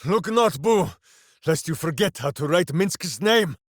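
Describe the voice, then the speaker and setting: An actor playing a boisterous, simple-hearted heroic warrior in a video game, bombastic and speaking of himself in the third person. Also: male voice, fairly high pitch, lively intonation, measured pace, dry recording, studio quality. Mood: earnest, boisterous. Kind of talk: video game character voice lines.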